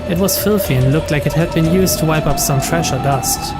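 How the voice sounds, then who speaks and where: A man reading scripted dramatic narration, deliberate, with drawn-out emphasis on key words. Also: a little high. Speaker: narrator reading game text aloud. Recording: loud game music behind.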